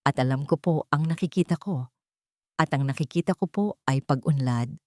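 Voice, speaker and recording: A woman voicing a gentle, steadfast maid in an audio drama, calm and polite but quietly firm. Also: low voice, normal speed, no room sound, studio quality, lightly acted. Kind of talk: audio drama character dialogue.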